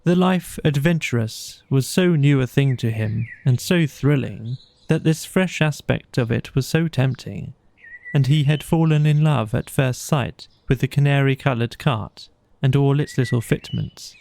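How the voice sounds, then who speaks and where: A man reading a bedtime audiobook as narrator, with measured, expressive storytelling. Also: medium pitch, creaky.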